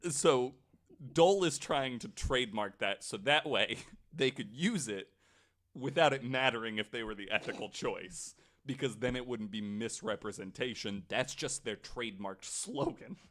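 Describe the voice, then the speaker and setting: A man presenting a researched story on a podcast in a casual, halting way, with informal asides. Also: medium pitch, lively intonation, a bit bright. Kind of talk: casual explanatory podcast talk.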